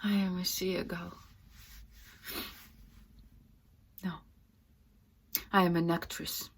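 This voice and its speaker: A woman playing a fragile, distraught young actress in a dramatic, halting stage monologue. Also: on the low side, steady tone, exaggerated voice. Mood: sad, broken. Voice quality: breathy, often dropping to whispers. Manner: halting, intense, swinging from hushed to loud.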